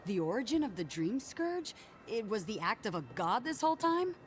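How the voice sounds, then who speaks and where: Challenging game frontierswoman: highish, expressive, doubting. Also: moderate pace, faint music behind. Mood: skeptical. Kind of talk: video game cutscene dialogue.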